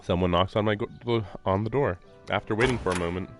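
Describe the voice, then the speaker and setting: A man's voice: lowish voice, a little fast. A man live streaming a visual novel, reading its text aloud with casual, informal commentary. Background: faint game music.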